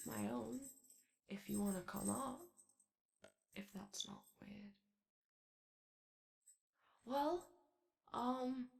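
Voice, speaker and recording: A woman's voice: on the high side, vocal fry, exaggerated voice. A woman playing a shy, chatty animatronic daycare attendant in a roleplay ASMR, rambling tentatively and trailing off. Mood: awkward, welcoming. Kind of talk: roleplay ASMR, improvised-sounding casual chat.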